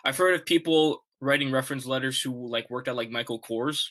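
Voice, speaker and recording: A young man speaks over a video call, his voice bright and a little forceful. He rambles casually, piling up "like"s and restarts as he gives advice. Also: medium pitch, a little fast. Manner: chatty, rambling, many likes and restarts. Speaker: student ambassador.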